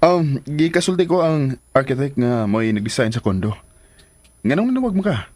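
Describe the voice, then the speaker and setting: Husky, evasive businessman. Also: medium pitch, big pitch swings, normal speed, darkish tone, no room sound. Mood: curt. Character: evasive, impatient businessman, secretly in love. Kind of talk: radio drama acting.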